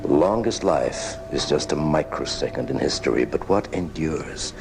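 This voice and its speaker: A man's voice: a bass voice, animated, normal speed, creaky, over music, exaggerated voice. A man playing a grave, compassionate doctor in a 1980s live radio drama, heard on a home recording of the broadcast. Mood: solemn, tender.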